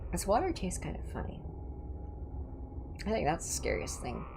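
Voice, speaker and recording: A woman's voice: fairly low pitch, wildly swooping pitch, normal speed, vocal fry, background noise. A woman hosting a livestream, reading clinical horror reports aloud with informal asides and the odd stumble over hard words. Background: music.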